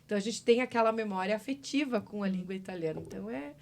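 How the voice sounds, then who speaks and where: A woman's voice: medium pitch, fast talker, vocal fry, background noise. A woman hosting a podcast, asking the guests short, curious questions. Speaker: podcast host. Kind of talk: casual podcast interview.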